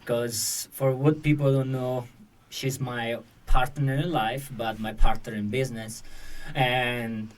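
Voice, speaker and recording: A young man with an Italian accent, hosting a casual chat in English as a second language and often pausing to restart his sentences. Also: mid-range voice, little pitch movement, recorded from a distance. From Italy. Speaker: podcast host.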